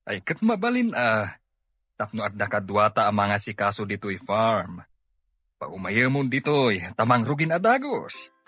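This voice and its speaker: A man's voice: on the low side, wildly swooping pitch, unhurried, sharp tone, husky, no echo, full character voice. A man with an Ilocano Filipino accent playing a brooding, kindly middle-aged father in a radio drama. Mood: obliging.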